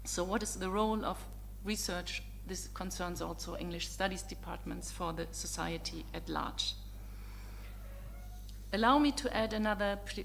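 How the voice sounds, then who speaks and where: A middle-aged woman whose first language is German, a visiting professor giving a formal, courteous opening address to students, measured and dotted with ums and uhs. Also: mid-range voice, slight background noise.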